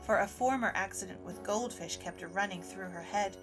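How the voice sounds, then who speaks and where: A woman narrates a scripted storybook audio drama. Her pitch sits in the middle range, and music plays clearly behind her. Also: moderate pace. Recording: music under the voice.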